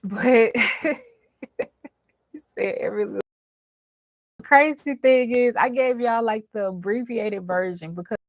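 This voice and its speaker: A woman's voice: medium pitch, gravelly, no room sound. A woman chatting casually and informally to her viewers as the host, telling stories in colloquial everyday speech. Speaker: host, dream interpreter.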